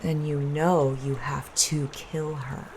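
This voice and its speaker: A woman playing a cold, scheming, murderous mistress in an audio drama, calm, commanding and coolly persuasive. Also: deep voice, takes it slowly, a little hiss or hum, low-quality recording. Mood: coaxing.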